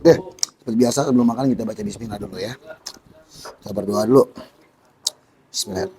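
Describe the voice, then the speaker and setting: A hoarse man, excitedly vlogging about street food outdoors at a stall and calling his viewers "guys". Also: medium pitch, a little fast, background noise. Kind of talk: casual street-food vlog.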